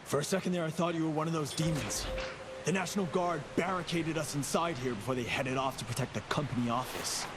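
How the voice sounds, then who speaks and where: A helpful frontier labourer in a video game, played by a man. His plain-spoken voice is slightly high, with clearly noisy ambience around it. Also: fast talker, natural voice. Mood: relieved. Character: relieved, helpful frontier oil-field worker. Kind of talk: dramatic video game acting.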